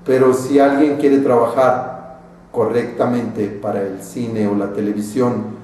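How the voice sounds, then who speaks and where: A man with a Mexican accent, an acting teacher telling anecdotes in a slow, deliberate lecture. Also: medium pitch, flat delivery, a little mellow, a little hiss or hum. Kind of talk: storytelling lecture. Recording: very echoey room, far from mic.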